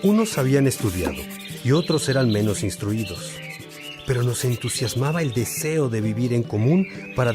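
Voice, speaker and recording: A man's voice: mid-range voice, close to an everyday voice. A man acting a devout, earnest churchman in a religious radio drama, speaking with solemn, reflective, formal phrasing. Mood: reflective, fond.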